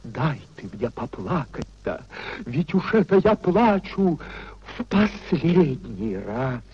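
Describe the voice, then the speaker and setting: An anguished high-voiced young husband. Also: male voice, big pitch swings, husky, full character voice. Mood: bitter despair. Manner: theatrical, emotional, with drawn-out repetitions. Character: anguished idealistic young husband abandoning his principles in despair. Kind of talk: 1950s radio play acting. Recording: dull 1950s radio drama recording.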